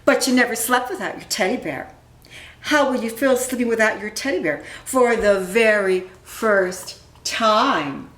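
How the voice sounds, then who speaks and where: Woman acting needling sister, storybook, teasing, animated intonation, echoey room. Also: fairly high pitch, measured pace. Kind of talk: storybook character reading. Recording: roomy, echoing room sound.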